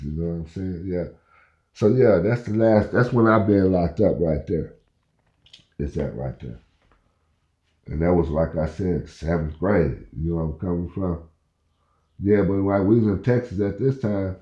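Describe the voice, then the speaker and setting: Man in his 50s, rambling and chatty, low mellow voice, echoey room sound kinda dull. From Oklahoma. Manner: rambling, repeats "you know where I'm coming from". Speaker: podcast host. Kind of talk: casual storytelling monologue.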